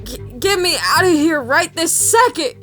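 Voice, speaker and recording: A woman voicing a grown pony farm mare, a weary, blunt big sister with a folksy, expressive delivery, in an amateur audio drama. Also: very high voice, normal speed, faint music behind. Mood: panicked, pleading.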